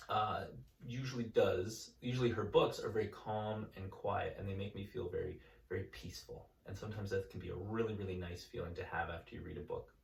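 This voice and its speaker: A man, an elementary school teacher, reading a picture book aloud to his students with gentle, calm storytelling and chatty asides to the kids. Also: fairly low pitch, gravelly.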